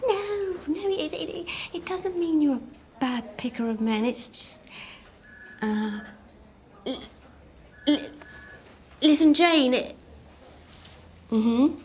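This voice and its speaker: Flustered stammering mum. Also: female voice, sits high, slow, long pauses, a little hiss or hum. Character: flustered middle-aged mum juggling calls. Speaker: mother. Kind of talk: sitcom acting.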